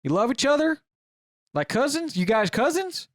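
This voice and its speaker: A man's voice: very high-pitched, very animated, moderate pace, no echo, studio quality, pitched above the performer's own voice. A man voicing a clueless, brash, self-absorbed crackpot inventor in an improvised comedy story. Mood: baffled. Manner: brash, oblivious, swearing.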